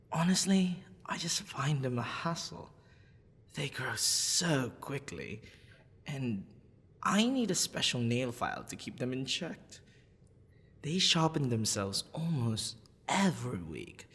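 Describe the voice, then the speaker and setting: Man acting a slow, husky, flirty dragon-blooded librarian. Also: mid-range voice, a bit bright, big-room sound, exaggerated voice. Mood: musing, mild grumbling.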